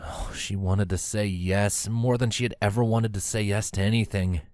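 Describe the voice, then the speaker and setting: A man's voice: lowish voice, steady tone, normal speed, a little mellow, no echo, clean studio sound. A man reading a fan fiction story aloud as scripted third-person narration.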